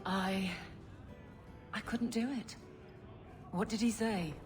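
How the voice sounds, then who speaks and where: A woman's voice: medium pitch, fairly even intonation, slow pace, close to an everyday voice. A woman voicing a stern, blunt female guard captain who is awkward about romance. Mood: flustered. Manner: blunt, self-critical. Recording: game cutscene with music behind.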